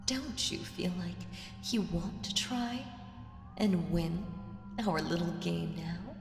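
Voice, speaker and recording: Slow, echoing ancient evil seductress, acted by a woman. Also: lowish voice, heavily acted. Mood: teasing, tempting. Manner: teasing, drawn-out, breathy murmurs slipping into whispers. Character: ancient evil female entity, seductive, menacing, playfully condescending. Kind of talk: ASMR audio roleplay. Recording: echoing reverb with music underneath. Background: music.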